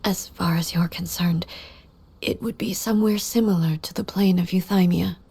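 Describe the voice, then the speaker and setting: A woman playing a solemn, grieving immortal ruler in a video game, speaking formally and softly with measured composure. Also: fairly low pitch, fairly even intonation, gravelly, slightly rough, exaggerated voice. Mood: sorrowful. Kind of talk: dramatic video game voice acting.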